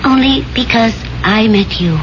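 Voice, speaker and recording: A woman's voice: a little high, measured pace, noisy background, natural voice. An actress playing a devoted, sentimental young woman in love, earnest and hesitant, in a 1970s radio drama. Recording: muffled old radio broadcast, loud music.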